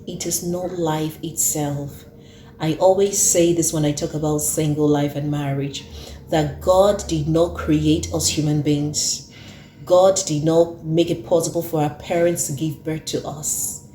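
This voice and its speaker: A woman's voice: low-pitched, fairly even intonation, unhurried, warm tone, roomy sound, a little hiss or hum. A woman in her thirties hosting an earnest talk, who repeats her points for emphasis. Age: 30s. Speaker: host. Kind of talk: casual talk to camera.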